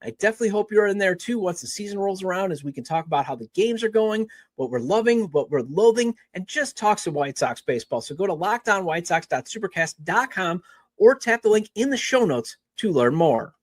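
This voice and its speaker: A man's voice: high voice, sing-song, a little fast, dry recording. A man hosting a sports podcast, moving between promos and introductions.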